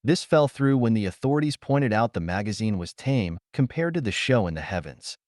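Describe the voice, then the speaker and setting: A man's voice: lowish voice, animated, brisk, darkish tone, dry recording, studio quality. A man reading a pulp science fiction story as a scripted audiobook narration.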